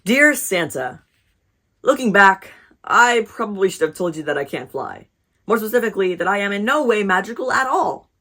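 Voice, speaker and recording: A woman's voice: mid-range voice, lively intonation, moderate pace, bright, forceful tone. A woman voicing an eager, earnest, cheerful male moose in a storybook, politely formal as he applies for a job. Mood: sheepish, hopeful. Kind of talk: storybook read-aloud.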